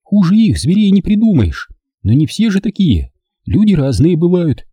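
Male reader voices a young partisan woman, earnest and firm, pitched well up. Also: huge pitch swings, normal speed, dark tone, slightly rough, no echo, studio quality, heavily acted. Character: compassionate young female partisan.

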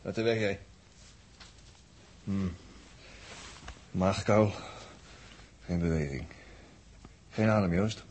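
An actor playing a tense, commanding ship's medic giving short clipped instructions in a 1960s radio drama. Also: male voice, sits low, slow pace, pauses often, darkish tone. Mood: tense, urgent. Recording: noisy old radio recording.

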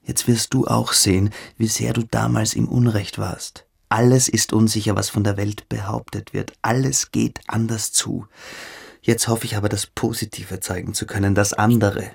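A man's voice: lowish voice, fairly even intonation, moderate pace, vocal fry, breathy edge, heavily acted. A man in a radio play, playing a fanatical, brooding schoolboy philosopher who lectures with pompous intensity. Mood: brooding, earnest.

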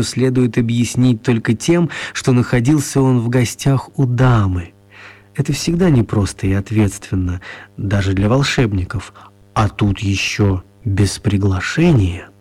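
A man with a husky voice narrating a scripted children's radio play as the storyteller. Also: on the low side, normal speed, darkish tone.

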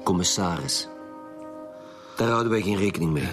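A man acting as a gruff, no-nonsense Flemish police commissioner in a radio drama. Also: deep voice, lively intonation, normal speed, faint music behind. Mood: stern, probing. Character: gruff, no-nonsense male police commissioner leading murder inquiry. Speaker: actor in a radio serial.

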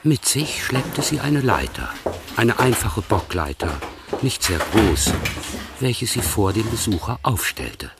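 Low-voiced male radio narrator. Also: big pitch swings, takes it slowly, very noisy. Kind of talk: scripted narration.

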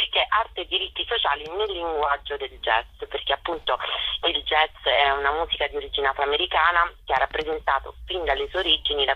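Bright, husky woman on a muffled phone. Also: sits low, dry recording. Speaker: author and actress, interview guest. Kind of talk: radio interview, explaining a project. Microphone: telephone. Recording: muffled phone call to radio.

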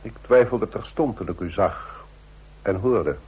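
An actor playing a shrewd, easygoing president in an old radio drama, speaking formally and deliberately. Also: male voice, fairly low pitch, darkish tone, slightly rough. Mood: probing, composed. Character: shrewd, easygoing president of a large federation, a man. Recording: muffled old radio play recording.

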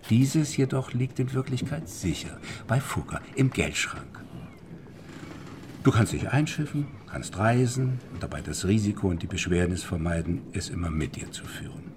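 An actor playing a shrewd, methodical former banker turned forger in a historical German radio drama, explaining deliberately with pointed pauses. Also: male voice, fairly low pitch, expressive, noisy background, close to an everyday voice. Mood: explaining, sly.

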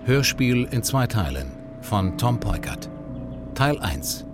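Guy reading radio play credits, really slow, loud music under him. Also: medium pitch, very animated. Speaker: announcer. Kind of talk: scripted radio drama title announcement.

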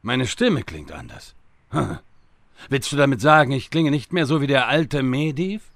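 An actor playing a bumbling, impatient time-travelling wizard who stammers and rambles in a comedic audio drama. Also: male voice, mid-range voice, expressive, moderate pace, gravelly, fairly bright tone, dry recording, studio quality. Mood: sheepish.

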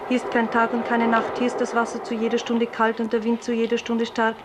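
A woman's voice: on the high side, monotone, brisk, lightly acted. An actress playing a dreamy, wilful young woman who yearns for the sea, lyrical and declamatory in 1950s German radio play style. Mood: wistful, questioning. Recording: roomy, hissy 1950s radio drama recording.

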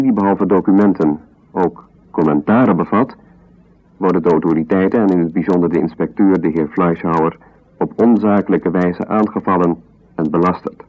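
An actor playing an impersonal bureaucrat in a radio drama, reading out an official ruling in a formal, measured, detached way. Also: male voice, low voice. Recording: dull 1970s radio recording.

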